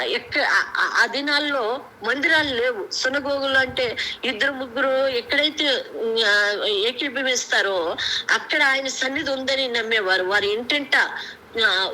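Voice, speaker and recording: A woman preacher speaks in a bright, forceful, fairly high voice. She teaches without a script, pausing and restarting as she explains and puts questions to her listeners. The conference-call recording has some faint noise. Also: poor audio. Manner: halting, explanatory, with rhetorical questions. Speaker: preacher sharing a Bible message. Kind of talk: extempore devotional teaching to a prayer group.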